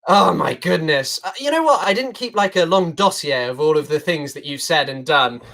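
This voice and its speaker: A man's voice: on the high side, expressive, moderate pace, vocal fry, a bit bright. A man arguing as a guest in a heated online debate, smug and sarcastic, talking over the other side.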